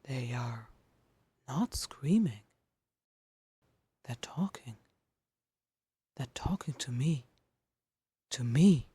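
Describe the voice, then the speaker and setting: A woman voicing a lonely, hesitant male supernatural being in a dramatic reading, hushed and breathy, with halting inner monologue. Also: rumbling low, expressive, no echo, pitched below the performer's own voice, heavily acted. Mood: stunned disbelief.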